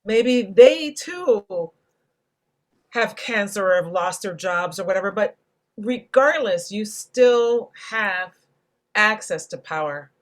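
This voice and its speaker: A woman hosting a panel discussion, speaking in a reflective, deliberate way and carefully spelling out what she means. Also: medium pitch, lively intonation. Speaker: panel host and moderator.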